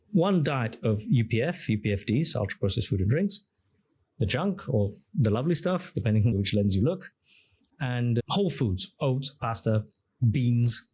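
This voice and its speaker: Husky-voiced man explaining at length. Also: medium pitch, animated, moderate pace, darkish tone. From Greek-speaking. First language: Greek. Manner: expansive, explains with questions and examples. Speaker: podcast guest, engineer and app founder. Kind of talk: conversational interview.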